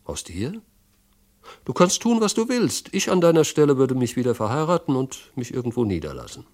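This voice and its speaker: A man's voice: mid-range voice, very animated, normal speed, a little mellow, pitched above the performer's own voice. A male audiobook reader voicing a self-satisfied, affable but condescending Roman husband and rising ruler. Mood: dismissive.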